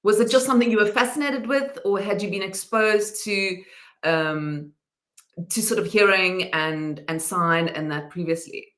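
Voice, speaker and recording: A woman's voice: mid-range voice, a little slow, a bit bright. A woman hosting a conversational interview, feeling out her questions in hesitant, gradually built phrases. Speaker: podcast host. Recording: roomy, echoing sound.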